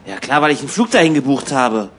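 A man's voice: mid-range voice, sing-song, fast talker, fairly bright tone. A man playing a restless, irritable emigrant in a hotel room, in conversational radio play dialogue. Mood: insistent. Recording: clear background ambience noise.

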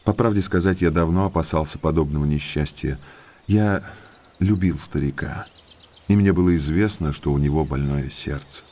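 Low-voiced, slyly affable naturalist. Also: male voice, moderate pace, darkish tone, a little hiss or hum. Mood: confiding. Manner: courteous, talkative, insinuatingly polite. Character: affable, inquisitive country naturalist gentleman, thirties, slyly probing. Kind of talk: audio drama dialogue.

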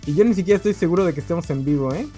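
A man's voice: a little high, rapid-fire, mellow, faint music behind. A man with a Mexican Spanish accent hosting a casual live-streamed comic-book chat, rambling and correcting himself as he goes. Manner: rambling, restarts often, self-correcting. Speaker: podcast host.

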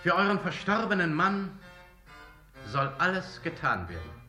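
A man's voice: fairly high pitch, huge pitch swings, a little slow, sharp tone, faint music behind, exaggerated voice. An actor playing a commanding king in a radio drama, questioning and ordering in an imperious, clipped manner. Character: commanding king ordering his archbishop about.

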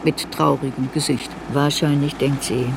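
An actor narrating a literary radio drama as a reflective narrator looking back on youth. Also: male voice, a little high, level tone, normal speed, vocal fry, background noise. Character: narrator recalling a girl's youth in reflective memoir. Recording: studio radio drama with music.

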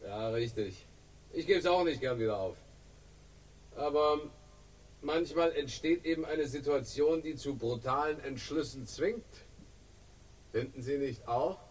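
An actor playing a suave, slippery crime boss in a radio drama, urbane and ironic, with smoothly evasive talk in a husky voice. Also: male voice, on the high side, measured pace, long pauses, distant mic, poor audio. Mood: guarded, urbane. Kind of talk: 1960s German radio crime drama acting.

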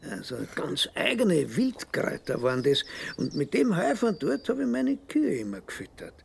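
An actor playing a sly, folksy old farmer in a radio play. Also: male voice, high voice, sing-song, moderate pace, slight background noise, exaggerated voice. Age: older. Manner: folksy, mocking, gravelly.

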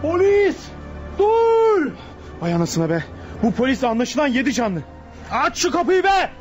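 A man's voice: extremely high pitch, very animated, a little slow, dull audio, faint music behind, exaggerated voice. An actor playing a desperate, wounded male outlaw in a radio play, barking threats and orders. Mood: menacing. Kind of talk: Turkish radio theatre acting.

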